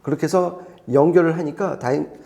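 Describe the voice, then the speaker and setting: A man's voice: a little high, a little fast, vocal fry, warm tone. A man speaking as an audio expert, explaining things conversationally and step by step, often repeating points for clarity. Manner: didactic, explains step by step with repetition.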